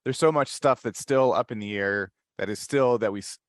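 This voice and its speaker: A man giving measured, halting opinions as a panelist in a casual podcast discussion. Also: mid-range voice, no echo, clean studio sound.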